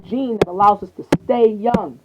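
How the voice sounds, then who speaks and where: A woman's voice: medium pitch, normal speed. A woman improvising a story in dialogue, with slangy, profane, stumbling delivery, as a casual creator. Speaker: fan fiction storyteller and channel creator. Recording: noisy muffled lo-fi recording with clicks.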